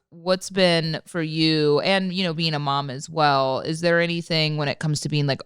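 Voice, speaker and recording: A woman's voice: low-pitched, smooth, no room sound, studio quality. A woman hosting a podcast in an office, chatting casually with lots of likes and you-knows. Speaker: podcast host. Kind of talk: casual chat.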